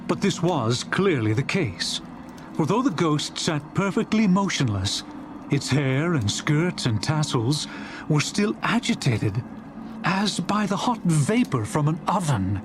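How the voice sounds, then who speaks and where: A male narrator tells a dramatized story with theatrical suspense. His fairly high voice rises and falls freely, and he lingers over phrases. Clear ambient noise runs behind him. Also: sing-song, takes it slowly.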